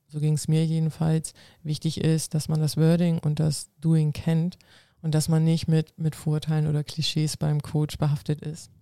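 Gravelly woman, earnest. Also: low-pitched, mellow, no room sound, studio quality. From North Frisia, northern Germany. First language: Low German. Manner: earnest, explains at length with restarts. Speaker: guest, coach and civil servant. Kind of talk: casual interview answers.

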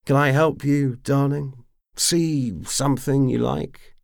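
A man's voice: medium pitch, steady tone, slow, vocal fry, darkish tone, no echo, studio quality, pitched above the performer's own voice. A man voicing a tipsy, roguish mercenary commander in an audiobook, drawling and rambling with boastful charm. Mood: drawling, flirtatious. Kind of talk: audiobook character acting.

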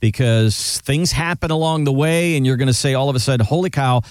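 A man's voice: mid-range voice, brisk, dry recording, clean studio sound. A man co-hosting a weekend radio show about retirement money, talking in an upbeat, chatty way and tossing leading questions to his co-host. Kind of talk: conversational radio finance talk.

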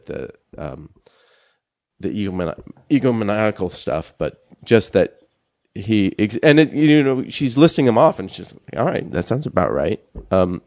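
A young man co-hosting a casual chat show, talking haltingly with many fillers and restarts. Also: fairly low pitch, a little mellow, no room sound, clean studio sound. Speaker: podcast co-host.

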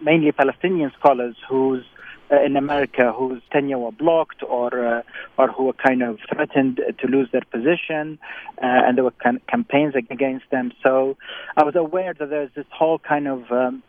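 A man explains how he wrote his work, his words arriving in thoughtful, halting stretches full of "uh" and restarts. He is on a narrow, tinny phone line, and the sound is dry and close with no room around it. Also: mid-range voice, steady tone, no room sound. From born in Prague, raised partly in Baghdad. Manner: thoughtful, many fillers and restarts. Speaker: playwright and performer. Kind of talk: interview answers, reflective explanation.

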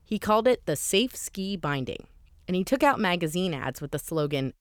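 A woman's voice: on the low side, big pitch swings, moderate pace, no room sound, studio quality. A woman host giving scripted documentary narration in a conversational, lightly wry way.

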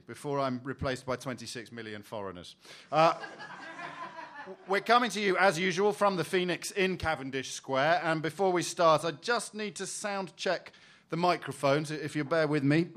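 A man hosting a live comedy show from a pub room, introducing acts with wry jokes. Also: mid-range voice. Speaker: host.

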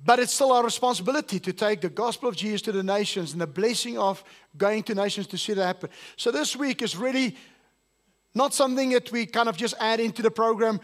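A man's voice: high-pitched, brisk, a bit bright. A male pastor, whose first language is not English, preaching a sermon to his congregation and asking them questions. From South Africa. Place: church service.

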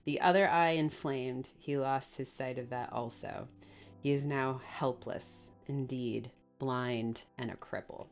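A woman's voice: very deep voice, steady tone, measured pace, soft background music, pitched below the performer's own voice. A woman voicing a grave middle-aged male innkeeper who speaks in plain, old-fashioned country words and is deferential and sorrowful.

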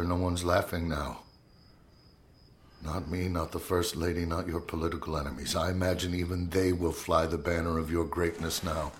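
A grim, commanding statesman of the 1860s, low in pitch. He speaks in formal, bitter, weighty phrases as in a historical radio play, with faint music underneath. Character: grim, grieving, commanding male Secretary of War in 1865. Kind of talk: dramatic historical audio drama acting.

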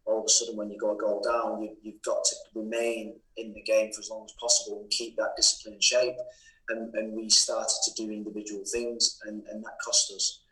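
A low-voiced man gives firm, deliberate post-match comments as a soccer coach, speaking in a very flat monotone. His voice is bright and edgy in tone, and the clip carries a roomy echo. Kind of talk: post-match press remarks.

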